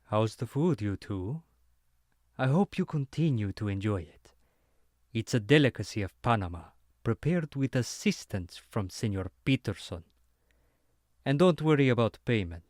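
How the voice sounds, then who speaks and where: A man playing a courteous, measured waiter with quiet menace in an audio drama. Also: medium pitch, animated, no room sound, studio quality, close to an everyday voice. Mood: smugly polite. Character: polite, quietly menacing waiter working for criminals. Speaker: voice actor. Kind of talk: anime-style audio drama acting.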